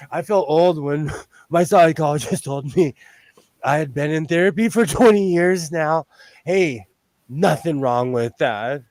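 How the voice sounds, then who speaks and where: A man in his forties hosting a sewing livestream, chatting casually in a high but loud voice, rambling, laughing often and restarting his sentences. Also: slow pace, pauses often, dry recording. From lives on the West Coast of the US. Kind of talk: casual chat while sewing.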